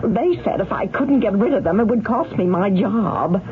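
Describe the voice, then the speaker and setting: A woman's voice: medium pitch, lively intonation, lightly acted. Flustered, urgent woman witness played by an actress. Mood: flustered, pleading. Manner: halting, urgent, emphatic. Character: flustered, insistent former shop manager testifying in court. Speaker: witness on the stand. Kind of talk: 1970s radio drama acting. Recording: tinny vintage radio recording, music bed.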